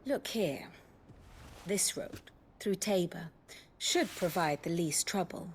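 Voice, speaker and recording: An actress playing a composed, slightly arch woman strategist in a video game, deliberate and knowing. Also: lowish voice, a little hiss or hum. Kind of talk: dramatic video game acting.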